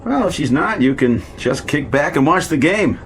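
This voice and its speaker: An actor playing a friendly, folksy train bartender making easygoing small talk in an audio drama. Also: male voice, a little high, animated, normal speed, a little hiss or hum, lightly acted. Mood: chummy. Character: friendly, chatty club car bartender.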